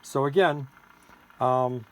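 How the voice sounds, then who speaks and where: A man's voice: mid-range voice, slow pace. Man demonstrating a gadget, noisy recording. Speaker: seller demonstrating his homemade radio gadget. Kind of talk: informal product demonstration.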